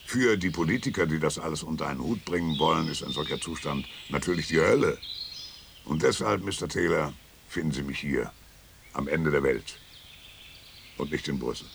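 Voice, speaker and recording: An actor playing an ironic European thinker who lectures emphatically in a radio play. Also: male voice, moderate pace, gravelly, noisy background. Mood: lecturing. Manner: emphatic, lecturing, swings pitch widely.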